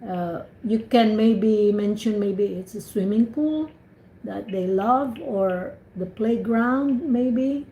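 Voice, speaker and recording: A woman's voice: mid-range voice, takes it slowly, roomy sound, muffled recording, slight background noise. A Filipino woman, a native Cebuano speaker, giving encouraging spoken feedback as an English speaking tutor over a video call, with many restarts. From Philippines.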